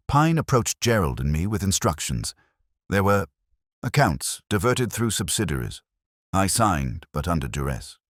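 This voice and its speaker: Guy doing a nervous guilty accomplice in a mystery audiobook, rough low voice, halting and defensive. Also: dry recording, clean studio sound, full character voice. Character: frightened, guilt-ridden male conspirator confessing.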